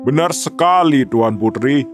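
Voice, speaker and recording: A man's voice: medium pitch, animated, unhurried, natural voice. Guy doing a big sly storybook envoy, smug n formal, scheming, faint music under. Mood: confident. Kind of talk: children's storybook dramatization.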